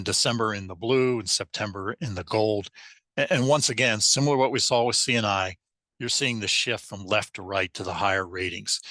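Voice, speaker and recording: A man walks through slides in a webinar, his voice hoarse as if he is losing it. He sits fairly low in pitch but sounds bright and pushed, with lots of restarts. The sound is dry and close, with no room in it. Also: dry recording. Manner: halting, with frequent restarts and fillers. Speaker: presenter of a lending webinar.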